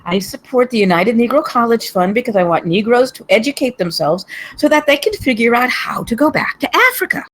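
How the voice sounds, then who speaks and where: A self-righteous, oblivious woman on trial, acted by a woman in a comedy table read. She is insistent, with lively swings in pitch. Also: fairly quick, few pauses. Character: smug, oblivious, bigoted woman defendant.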